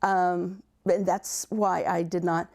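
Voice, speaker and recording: A middle-aged woman coaching job seekers on a livestream, her voice raspy and gravelly after an illness. Her tone is dark and mellow, and she talks conversationally, often restarting and repeating words as she gathers her thoughts. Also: on the low side, little pitch movement, takes it slowly. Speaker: career coach. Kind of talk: livestream advice talk.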